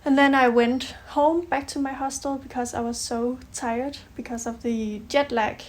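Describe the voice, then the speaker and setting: A woman's voice: sits high, fairly even intonation, normal speed. A twenty-eight-year-old Danish woman with a Danish accent, speaking English as a second language in a casual chat to camera, hesitant with ums and uhs. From Denmark. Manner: hesitant, fillers like um and uh, laughs between phrases. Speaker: vlog roommate.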